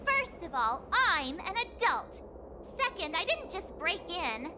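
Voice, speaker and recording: A woman's voice: very high-pitched, sing-song, slow pace, background noise, full character voice. A woman playing a small, childlike-sounding but earnest adult woman in a video game, precise and a little hesitant. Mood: indignant.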